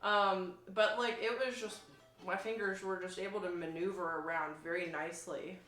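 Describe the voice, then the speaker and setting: A woman chatting casually as she demonstrates an instrument, enthusiastic and informal, with a halting, searching delivery. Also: fairly low pitch, steady tone, a bit bright, soft background music. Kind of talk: casual product demo chat. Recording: roomy, echoing sound.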